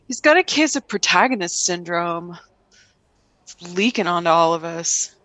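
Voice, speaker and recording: A woman's voice: lowish voice, animated, moderate pace, a bit bright, no echo. A woman playing an envious, disgruntled student who craves heroism, dry and exasperated in an improvised comedy sketch.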